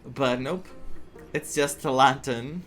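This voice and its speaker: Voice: mid-range voice, slow. A game streamer giving casual playthrough commentary, thinking aloud and reacting to the story. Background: game music.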